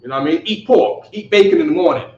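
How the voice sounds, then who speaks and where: Man leading a religious class, high voice, emphatic, muffled lo-fi recording. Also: lively intonation, quick pace, a little mellow. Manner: emphatic, keeps asking "you see what I'm saying?". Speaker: religious teacher. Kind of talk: live online scripture class. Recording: echoey, distant, muffled.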